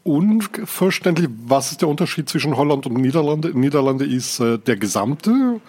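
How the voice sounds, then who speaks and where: A man's voice: fairly high pitch, big pitch swings, moderate pace, darkish tone, husky. A man co-hosting a podcast, chatting casually with short interjections and dry quips. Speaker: podcast co-host.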